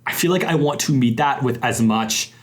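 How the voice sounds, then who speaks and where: A man's voice: medium pitch, moderate pace. A young man from the American South hosting a podcast, talking casually in long, self-correcting stretches. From Southern United States. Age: young adult. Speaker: podcast host, interviewer. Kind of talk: casual interview chat.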